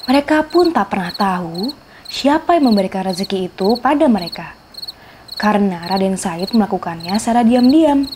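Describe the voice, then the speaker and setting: A woman's voice: medium pitch, background noise. A woman telling a scripted children's story, expressively addressing her young listeners. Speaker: storyteller, host of a children's folktale show. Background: faint music.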